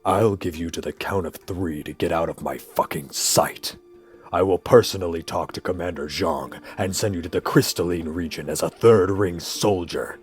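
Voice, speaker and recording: A man's voice: deep voice, moderate pace, faint music behind, close to an everyday voice. A man playing a stern, protective army captain with a curt, authoritative manner in an audio drama. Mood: cold, threatening.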